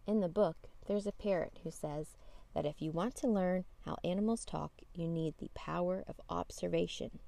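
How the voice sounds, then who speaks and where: Creaky low-voiced woman reading children's storytime. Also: normal speed, mellow. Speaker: storytime podcast host and reader. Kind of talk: children's picture book read-aloud.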